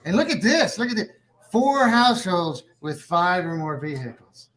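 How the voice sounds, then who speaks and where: Man doing a high-voiced, folksy, rambling small-town official. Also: very animated, moderate pace, fairly bright tone. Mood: delighted, fascinated. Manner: folksy, rambling, repeats himself. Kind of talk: live table read of a sitcom script.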